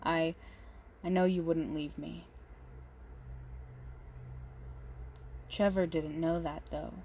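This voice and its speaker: A woman performs a big, strong goth girlfriend in an ASMR roleplay, doting and unhinged. Her voice sits fairly low and she speaks very slowly and haltingly, with menace under the sweetness. There is some noise behind her. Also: steady tone, vocal fry, dark tone. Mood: tender, anxious. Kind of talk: intimate ASMR roleplay monologue.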